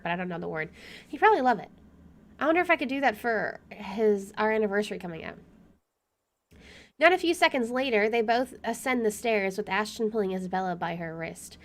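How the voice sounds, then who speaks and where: A young woman streaming a game, chatting casually and reading story text aloud in an expressive way. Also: mid-range voice.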